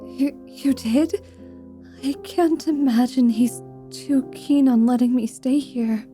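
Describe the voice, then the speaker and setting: A woman's voice: sits high, slow, mellow, faint music behind. A woman playing an anxious, deferential young magic student, earnest and hesitant, in a fantasy audio roleplay. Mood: hopeful, flustered.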